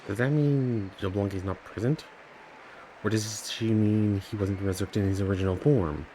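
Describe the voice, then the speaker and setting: A man giving casual gameplay commentary, musing aloud in a halting way as he plays. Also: lowish voice, warm tone, a little hiss or hum, rough recording. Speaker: streamer commenting on a game. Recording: game music behind the voice.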